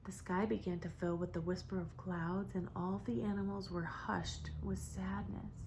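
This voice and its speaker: A woman reads a children's story as a storyteller for young kids, in a dramatic manner with drawn-out words and hushed moments. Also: mid-range voice, little pitch movement, a little hiss or hum. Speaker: storybook reader for preschoolers. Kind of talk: expressive children's story narration.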